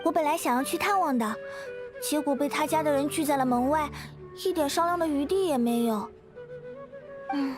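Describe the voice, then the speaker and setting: A woman playing a high-voiced gentle young woman. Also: lightly acted. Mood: concerned. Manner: polite, soft-hearted, earnest. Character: gentle, modest, friendly young woman dancer. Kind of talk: anime game voice acting.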